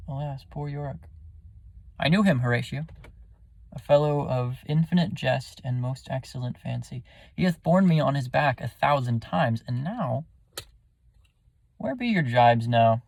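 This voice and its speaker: A man's voice: medium pitch, lively intonation, measured pace, vocal fry, pauses often, a little mellow, no echo. A man playing a melancholy, bitterly wry prince, musing theatrically in a Shakespearean stage speech. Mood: wistful grief.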